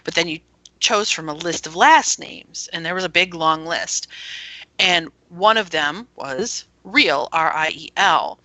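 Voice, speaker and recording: A woman's voice: on the low side, unhurried, sharp tone, dry recording. A woman speaking as an interview guest, explaining things through casual anecdotes. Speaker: guest, founder of an internet radio station.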